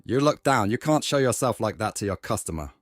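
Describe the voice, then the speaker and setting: A man voicing a kindly bar owner who calmly asks what is troubling the hostess, in an anime-style dub. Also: lowish voice, a little fast, dry recording, clean studio sound. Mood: concerned. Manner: calm, concerned.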